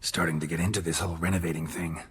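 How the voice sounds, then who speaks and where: A man playing a gruff, world-weary monster hunter in a video game, husky and gravelly, terse and dryly understated. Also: low-pitched, level tone, very fast, in a large hall, a little hiss or hum, close to an everyday voice. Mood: matter-of-fact.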